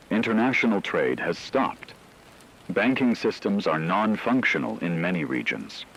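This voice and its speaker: A man playing a grave radio newsreader in an apocalypse drama, reading a scripted bulletin in a measured, formal, factual way. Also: deep voice, a bit bright, breathy edge, exaggerated voice, low-quality recording. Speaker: news anchor.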